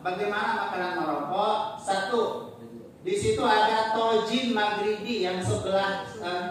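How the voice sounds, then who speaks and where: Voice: mid-range voice, fairly bright tone. A lecturer giving a casual slide talk, mixing in Arabic phrases, joking and quizzing the audience. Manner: joking, chatty asides. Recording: very echoey room, distant mic, faint noise.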